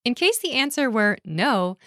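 A woman narrating a scripted language lesson in a clear, measured, instructional way, recorded close to a studio mic. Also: on the high side, sing-song, bright, forceful tone, dry recording. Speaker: course narrator.

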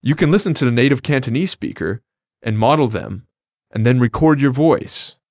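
Male co-host for a language lesson podcast, upbeat and clear, dry studio sound, mid-range voice. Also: medium pitch, normal speed, a little mellow, no echo.

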